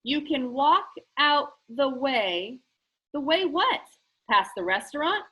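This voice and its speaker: Snobbish storybook schoolboy, read by a woman: high, bright, haughty and scheming. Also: lively intonation, slow pace, exaggerated voice, rough recording. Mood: puzzled. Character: smug, snobbish rich boy, scheming and competitive.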